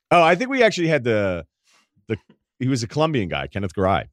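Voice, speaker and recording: A man with a deep voice hosting a podcast, telling rambling stories in casual chat and laughing at his own anecdotes. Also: wildly swooping pitch, brisk, no room sound. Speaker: podcast host.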